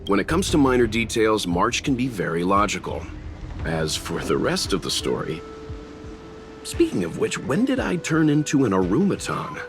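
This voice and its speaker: A man's voice: fairly low pitch, huge pitch swings, moderate pace. A man voicing a dry, sceptical young man who comments quizzically, in a video game style. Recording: game music behind the voice.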